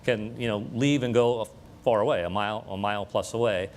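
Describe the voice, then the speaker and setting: A man's voice: lowish voice, fairly even intonation, a little fast, slight background noise. A man giving a conversational live technical demonstration to a room, explaining equipment as he presents it. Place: room with an audience at a live event demo.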